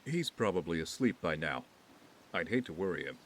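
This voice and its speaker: A man playing an injured, weary, wealthy young man in an audio drama, measured and evasive in how he talks. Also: deep voice, very animated, a bit bright, a little hiss or hum. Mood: guarded. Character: wounded, guarded wealthy young man, weary but composed.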